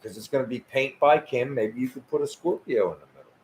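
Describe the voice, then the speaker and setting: A man's voice: medium pitch, fast, slight background noise, rough recording. A man in his fifties hosting a casual live chat about cars, easygoing, often stammering and laughing as he talks. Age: 50s. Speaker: host.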